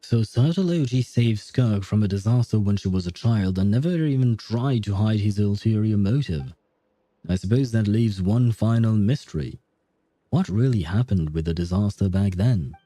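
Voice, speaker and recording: A man giving casual livestream commentary on a game, musing aloud and pausing to think. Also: fairly low pitch, dry recording. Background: soft game music.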